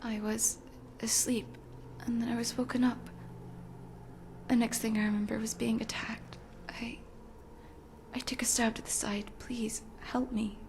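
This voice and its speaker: Woman playing a sweet, high-voiced young runaway princess. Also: flat delivery, unhurried, a little mellow, slight background noise. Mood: pained, pleading. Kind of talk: video game character dialogue.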